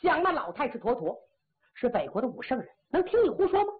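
A woman's voice: high-pitched, very animated, fast talker. A woman voicing a stern, cold male marshal who gives clipped orders. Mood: stern rebuke. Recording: tinny phone-like audio.

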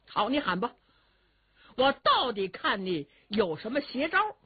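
A woman storyteller voicing an impatient, menacing male bandit king with gruff, commanding taunts. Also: on the high side, very animated, unhurried, no room sound, highs cut off, heavily acted. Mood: taunting.

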